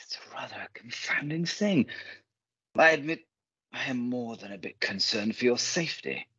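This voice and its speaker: A man playing a polite, uneasy and protective gentleman in a radio play, formal and slightly hesitant, on a dull recording. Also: mid-range voice, animated, moderate pace, slightly rough, no echo, exaggerated voice. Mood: concerned, uneasy.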